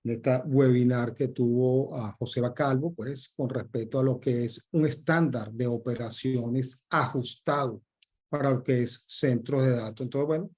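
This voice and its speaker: A man's voice: medium pitch, fairly even intonation, normal speed, darkish tone. A man moderating a webinar question session, courteous and full of fillers like "pues" and "este".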